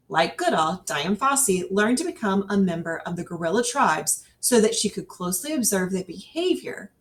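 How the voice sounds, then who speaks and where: A woman reads an educational nonfiction text aloud as a scripted narration, with careful, clearly phrased delivery. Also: medium pitch. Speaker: reader. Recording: distant microphone.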